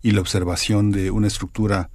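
A man with a somewhat low voice poses long, musing questions as a radio interviewer. The sound is dry and close. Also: little pitch movement, fairly quick, a little mellow, no echo. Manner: reflective, elaborate long questions.

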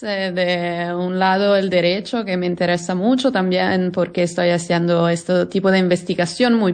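A woman guest with an Italian accent speaks in a second language. Her pace is very slow and halting as she searches for words, and her voice is slightly low. She answers in a conversational interview style. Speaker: interview guest, lawyer and illustrator.

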